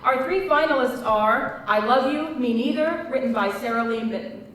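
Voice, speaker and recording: A woman's voice: fairly high pitch, normal speed, fairly bright tone. A woman hosting a live event, making announcements to open a screenplay reading. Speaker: event host. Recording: echoey hall, distant mic, faint noise.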